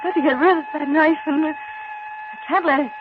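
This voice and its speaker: A woman plays a fragile young heroine in a 1940s radio melodrama. Her very high voice is halting and breathless, anxious and tender. It comes through a muffled, noisy old broadcast. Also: natural voice. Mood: weak, pleading.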